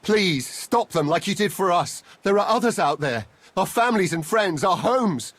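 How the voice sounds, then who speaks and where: A man's voice: sits high, animated, normal speed, a bit bright, dry recording. An actor playing a weary male refugee in a video game, speaking earnestly and pleadingly. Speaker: refugee leader. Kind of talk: video game cutscene acting.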